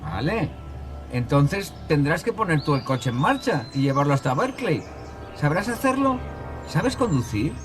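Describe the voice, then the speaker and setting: An actor with a Castilian accent playing a calm, manipulative young killer in a radio drama, coaxing and falsely reassuring. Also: male voice, fairly high pitch, moderate pace. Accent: Castilian Spanish. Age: young adult. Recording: music bed under the voice.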